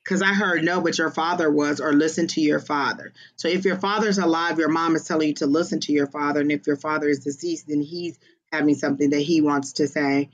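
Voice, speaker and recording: A psychic medium giving live-streamed readings in a casual, expressive way, often exclaiming and pausing on fillers. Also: mid-range voice, dull audio.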